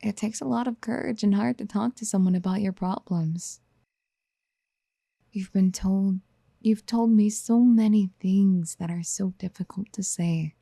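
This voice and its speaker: A woman acting a doting, possessive motherly figure in a soft-spoken ASMR roleplay, soothing with breathy half-whispers. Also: mid-range voice, measured pace, dry recording, studio quality. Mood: gentle encouragement.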